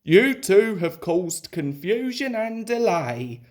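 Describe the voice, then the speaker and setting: A woman voicing a stern, booming male railway boss in a children's storybook. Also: big pitch swings, slow pace, darkish tone. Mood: scolding.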